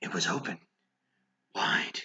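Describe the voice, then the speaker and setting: A man playing an unhinged, nervous, gloating murderer who narrates a gothic horror tale in a theatrical, dramatic manner, swinging between hushed and frantic delivery. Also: slow, whispered. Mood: hushed, creeping.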